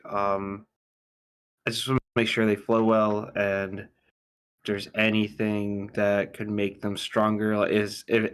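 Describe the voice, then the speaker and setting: Male writer, clean resonant lowish voice, fairly even delivery, tentative and casual. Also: smooth. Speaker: screenwriter seeking feedback. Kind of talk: casual explaining.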